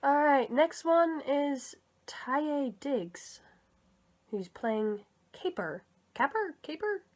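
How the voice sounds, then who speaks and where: Woman rambling to her viewers in a fairly high voice, a bit sick, keeps fixing her own words. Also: animated, very slow. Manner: chatty, rambling, self-correcting. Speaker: video creator and comic dub reader. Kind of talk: casual commentary vlog.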